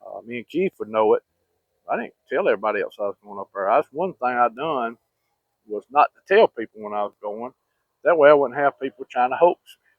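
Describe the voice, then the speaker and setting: A man's voice: mid-range voice, expressive, fairly quick, no echo. A man with a Southern US accent tells personal stories in a casual, folksy, rambling, plain-spoken way. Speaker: vlogger. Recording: muffled, phone-like narrow-band audio.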